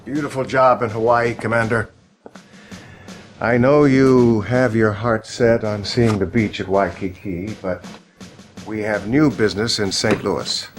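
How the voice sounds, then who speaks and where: An actor playing a solemn, fatherly American statesman with an American accent and a measured, earnest delivery in a game cutscene. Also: fairly low pitch, fairly even intonation, faint music behind, natural voice. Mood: warm approval. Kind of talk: video game cutscene acting.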